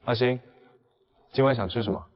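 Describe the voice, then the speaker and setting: A man's voice: medium pitch, steady tone, moderate pace, dull audio, lightly acted. A man acting out dialogue in an audio drama.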